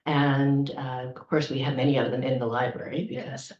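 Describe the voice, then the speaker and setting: A woman's voice: a bass voice, flat delivery, moderate pace. A woman welcoming people to a public event as its host, chatting informally through announcements with joking asides. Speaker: library event host and outreach coordinator. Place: indoors in a library event room. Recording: roomy, slightly muffled live room recording.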